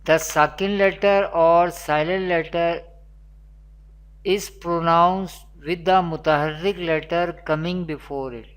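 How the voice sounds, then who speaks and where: Quran teacher, unhurried careful delivery, repeats each rule, online lesson. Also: mid-range voice, clean tone. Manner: slow, repetitive teaching delivery with Arabic recitation.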